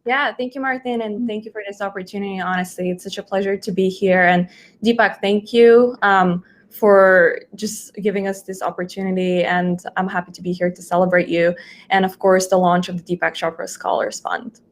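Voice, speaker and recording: A woman's voice: mid-range voice, fairly even intonation, moderate pace, a little hiss or hum. Young woman, conversational guest speaker. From Bulgaria. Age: 20s. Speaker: guest, junior research analyst. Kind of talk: conversational account of own work.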